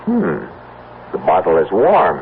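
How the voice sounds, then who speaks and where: An actor playing an earnest, stubborn American farmer and husband in a 1970s radio drama, speaking in a halting, insistent way. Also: male voice, low voice, huge pitch swings, full character voice. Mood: anxious, hopeful. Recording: tinny, hissy old radio recording.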